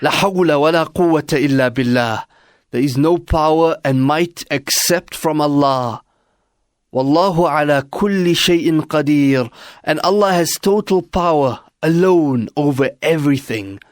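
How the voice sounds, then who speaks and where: A man giving measured, scripted religious narration for an audio drama. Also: fairly high pitch, dry recording, clean studio sound. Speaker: narrator and reciter of translations.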